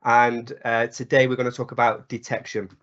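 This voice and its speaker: A man with a croaky cold voice presenting a technical webinar, with frequent ums, uhs and restarts. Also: medium pitch, little pitch movement, moderate pace, fairly bright tone. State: hoarse from a cold. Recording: slightly dull webinar audio.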